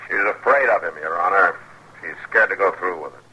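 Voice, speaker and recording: An actor playing a steady, stern frontier marshal, terse and authoritative, with an American accent in 1950s radio western style. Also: male voice, deep voice, a little fast. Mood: businesslike. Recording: noisy old radio drama recording.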